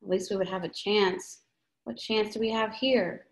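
A woman's voice: medium pitch, fairly even intonation, moderate pace, vocal fry, reverberant, close to an everyday voice, low-quality recording. A woman voicing a frightened young male soldier, speaking in a pleading, tearful way. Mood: despairing.

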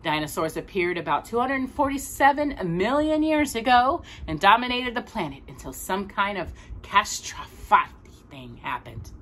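A middle-aged woman reading a children's book aloud expressively, with deliberate, dramatic phrasing, as a storytime host. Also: fairly low pitch, fairly bright tone. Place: small room at home with bookshelves.

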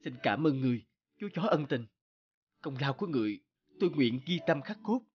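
A man's voice: on the high side, very animated, brisk, muffled recording. A man voicing a humble, repentant former official who speaks earnestly and pleadingly in a cartoon. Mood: grateful. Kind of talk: Vietnamese cartoon dubbing.

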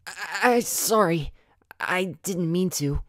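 A woman's voice: on the low side, takes it slowly, no room sound, studio quality. A woman voicing an earnest, bashful young male cartoon hedgehog in a comic dub. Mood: smitten, flustered.

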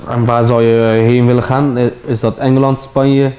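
A man's voice: medium pitch, flat delivery, normal speed, a little mellow. A man in his thirties, a barber hosting an interview while cutting hair, talking laid-back and streetwise, often saying "man". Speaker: barber and host. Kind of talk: casual interview chat.

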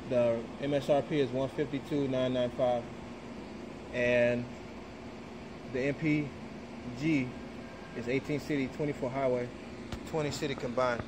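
A man talks through a sports car in an indoor showroom, his delivery flat and unhurried. He reads out specs with "uh" and "um" as he goes. A clear hum of air conditioning sits under the handheld recording. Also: mid-range voice. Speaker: car vlogger. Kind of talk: casual walkaround commentary.

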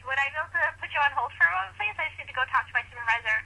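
A woman with a high, bright voice handles a customer support call. She is polite and hesitant yet talks quickly, heard over a noisy speakerphone line. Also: animated, gravelly. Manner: polite, hesitant, filler-heavy. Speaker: customer service agent.

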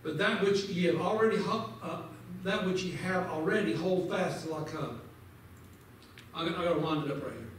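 A man leads a Bible lesson from the front of a large church, his voice pitched high and fervent as he quotes scripture and presses his listeners. The sound is heavily echoey, heard from a camera far back among the pews. A faint hiss of room noise sits underneath. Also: normal speed. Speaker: Sunday school teacher. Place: large church sanctuary, indoors.

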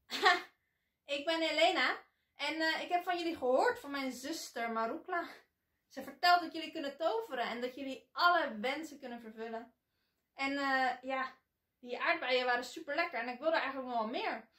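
A woman voicing a greedy, demanding spoiled girl who stammers out loud, whiny demands, in an echoey room. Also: sits high, normal speed. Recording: echoey room, voice a bit distant.

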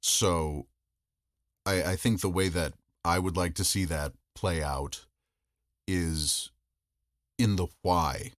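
A man speaking as a podcast guest, reflecting in a measured, thoughtful way with pauses mid-sentence. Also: deep voice, no echo, studio quality. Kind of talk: reflective interview talk.

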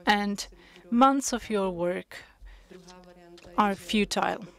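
Brief: woman interpreter, unhurried delivery, mid-range voice, hesitant pauses between phrases. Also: mid-range voice, vocal fry, fairly bright tone. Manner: measured, with frequent hesitations. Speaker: conference interpreter. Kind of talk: simultaneous interpretation of a panel discussion.

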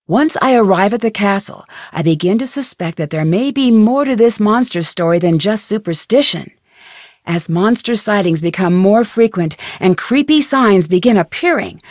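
An actress playing a curious, level-headed young female detective in an adventure game, speaking in an earnest, composed, clearly enunciated way. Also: mid-range voice, a little mellow, no echo, studio quality, natural voice. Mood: dramatic, intent. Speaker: voice actor, game protagonist. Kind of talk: scripted adventure game dialogue.

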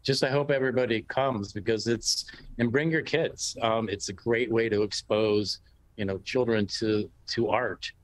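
A man's voice: fairly low pitch, steady tone, moderate pace, low-quality recording. An American man in his sixties answering interview questions casually over a video call. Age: 60s. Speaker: interview guest, painter. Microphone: video call, sitting back from the device.

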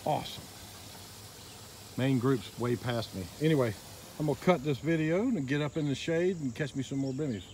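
Man narrating quietly from a boat. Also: mid-range voice, moderate pace. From Northeast Florida. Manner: excited, quiet running commentary. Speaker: fishing vlogger. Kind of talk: casual narration while filming. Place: small boat on a river outdoors. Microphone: handheld phone camera. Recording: outdoor noise, insects behind.

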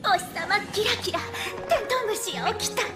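Very high, sweet young princess. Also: female voice, lively intonation, moderate pace, over loud music. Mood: tender. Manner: tender, feminine pleading. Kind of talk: dubbed cartoon acting.

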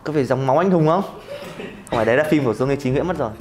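A man's voice: medium pitch, rapid-fire. A man, bantering casually as a quiz contestant. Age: 20s. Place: indoor studio, seated at table. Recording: roomy echo, music underneath.

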